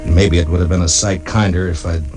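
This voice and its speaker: An actor playing a stoic, weary US Marshal who narrates his own Western radio story in a plainspoken, halting, reflective way. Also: deep voice, steady tone, natural voice. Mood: brooding. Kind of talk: 1950s Western radio drama acting and narration. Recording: old radio drama recording with music behind.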